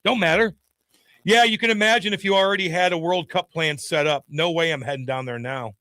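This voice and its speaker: A man co-hosting a sports talk radio show, casual banter, loud and emphatic, repeating his points as he argues. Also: fairly high pitch, a little fast, no echo.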